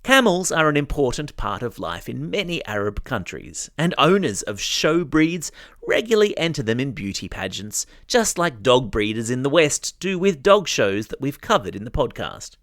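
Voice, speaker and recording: A man's voice: medium pitch, sing-song, normal speed, a bit bright, no echo, clean studio sound. An Australian man hosting a news podcast for children, reading scripted stories in a chatty, playful way and speaking straight to young listeners. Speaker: podcast host.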